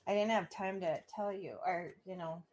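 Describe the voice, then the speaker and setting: A woman's voice: on the low side, steady tone, normal speed, a little mellow, slight background noise. A female radio co-host in casual on-air banter, easygoing and giggling often.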